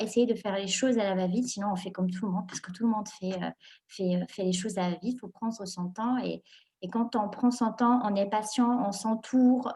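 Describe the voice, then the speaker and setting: A young woman, born and raised in Morocco, answering interview questions in an animated way, laughing often and restarting her sentences with many fillers. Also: medium pitch. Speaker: guest entrepreneur.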